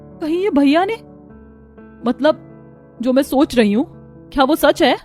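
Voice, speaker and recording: A woman's voice: high voice, expressive, measured pace, a little mellow, faint music behind. A woman playing a wronged, principled young married daughter in a cartoon family drama, plaintive and earnest. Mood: concerned.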